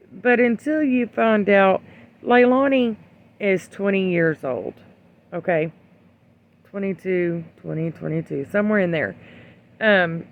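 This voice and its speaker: An opinion vlogger giving casual, rambling commentary in a blunt, admonishing way, with hesitant pauses. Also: mid-range voice, creaky. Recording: dull lo-fi sound.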